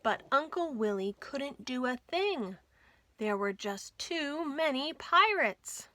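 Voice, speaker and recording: A woman reading a picture book aloud for young children, expressive and deliberate, with dramatic emphasis. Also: sits high. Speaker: children's librarian reading storytime.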